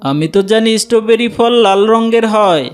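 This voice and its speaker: A woman's voice: fairly high pitch, normal speed, clear, resonant tone, close to an everyday voice. A woman voicing a mild, puzzled village father in a children's cartoon.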